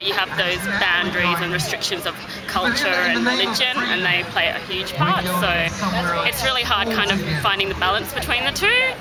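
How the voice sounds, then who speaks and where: A woman's voice: mid-range voice, lively intonation, normal speed, poor audio. A woman, speaking candidly and chattily in a street interview. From Australia. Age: 20s. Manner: candid, chatty, laughs between points. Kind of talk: vox pop interview. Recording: loud crowd and music outdoors.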